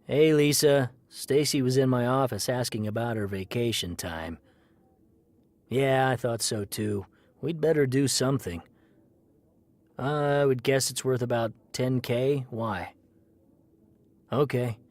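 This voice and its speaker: An actor playing a fussy, self-conscious office administrator in an audio comedy. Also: male voice, medium pitch, a little slow, long pauses, a little mellow, no room sound, close to an everyday voice. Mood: businesslike. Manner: flustered, fussy, indignant. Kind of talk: comedic audio drama acting.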